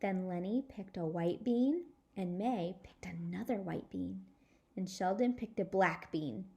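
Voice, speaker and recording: A woman reading a children's chapter book aloud as a storyteller, with expressive, dramatic delivery. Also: medium pitch, a little slow, gravelly, highs cut off. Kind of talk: animated read-aloud narration for children.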